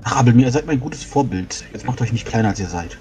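A man's voice: mid-range voice, animated, fast, a little mellow, faint music behind. A man role-playing casually in a tabletop game, chatty, repeating words as he switches between character speech and brief narration.

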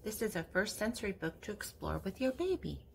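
An older woman reading a children's picture book aloud, speaking in simple, deliberate phrases for young listeners. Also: mid-range voice, very animated, vocal fry, a little hiss or hum. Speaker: children's book reader and channel host.

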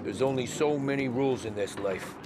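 An actor playing a loyal, tough mob enforcer in a crime drama game, with streetwise gangster talk. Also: male voice, medium pitch, fairly quick, heavy background noise, close to an everyday voice. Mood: grim. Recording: loud film score behind.

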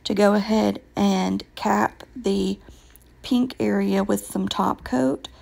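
A woman with a raspy voice from a recent illness, patiently explaining her nail work step by step with frequent ums. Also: medium pitch, steady tone, slow pace, darkish tone. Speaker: nail tutorial vlogger. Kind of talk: casual step-by-step nail tutorial voice-over.

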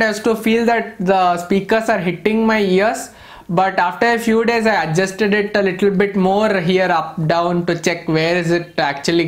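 A man in his twenties with an Indian English accent and a high voice for a male speaker. He talks casually as a product reviewer, explaining things informally and haltingly, often circling back over a point. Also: fairly bright tone. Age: 20s. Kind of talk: casual to-camera gadget review.

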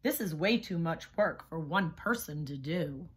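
A woman's voice: low-pitched, expressive, unhurried, slightly rough, voice pushed down, full character voice. Woman reading as a whiny, impatient little girl. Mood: whiny, fed up. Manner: complaining, dramatic. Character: young girl, impatient, whiny, grumbling about chores. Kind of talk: picture-book character reading.

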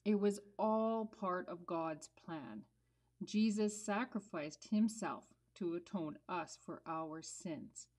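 A woman playing a sober, earnest disciple puppet telling the Easter story to children in a measured way. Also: mid-range voice, darkish tone.

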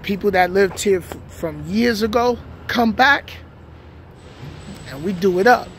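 A man's voice: high voice, measured pace, a bit bright. A middle-aged man with a New York accent, casually vlogging while walking outdoors at night, talking in a laid-back, reflective way with street slang, recorded on a phone held at arm's length. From New York City. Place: outdoors at night on city streets and housing project grounds. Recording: outdoor street noise with passing traffic.

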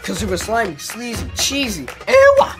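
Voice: medium pitch, wildly swooping pitch, normal speed. A performer playing an indignant younger brother, a musician trading scornful rap insults in a video game. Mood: taunting. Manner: scornful, heated, emphatic. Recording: hip hop music behind voice.

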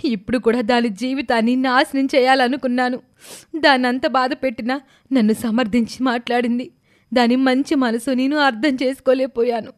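A woman's voice: sits high, steady tone, normal speed, pitched above the performer's own voice, close to an everyday voice. A woman voicing a remorseful, emotional stepmother in a cartoon story, full of self-reproach.

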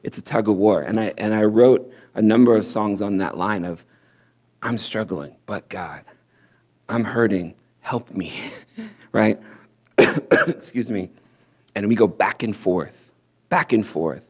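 Low-voiced man performing rhythmic spoken word. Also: animated, takes it slowly, pauses often. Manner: rhymed, sing-song cadence that swells and drops to near-whispers. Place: indoor church stage with curtains. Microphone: headset mic.

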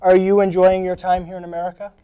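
A man's voice: sits high, flat delivery, fast, mellow, smooth, dull audio. A young man hosting a student talk show in a small college TV studio, asking friendly, prepared questions with some "um"s. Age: young adult. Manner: friendly, scripted questions with fillers. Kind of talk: studio interview. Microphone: lapel mic.

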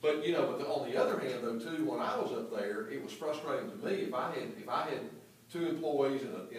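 A council member talks haltingly, restarting his sentences. His voice is mid-pitched. He is heard from far off in a very echoey chamber. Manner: halting, rambling. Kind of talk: meeting discussion.

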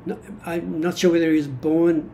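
A man in his seventies with an Australian accent, giving a reflective personal monologue from his notes in a halting, deliberate manner. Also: fairly high pitch, little pitch movement, creaky, warm tone, slight background noise. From Greek-born, grew up in Australia. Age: 70s. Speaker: vlogger sharing personal history. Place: small indoor room at home.

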